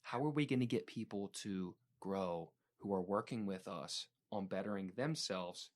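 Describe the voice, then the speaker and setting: A man's voice: low voice, normal speed. A man hosting a podcast, talking in an earnest, reflective way with some "um" and "like". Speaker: podcast host. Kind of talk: casual podcast conversation.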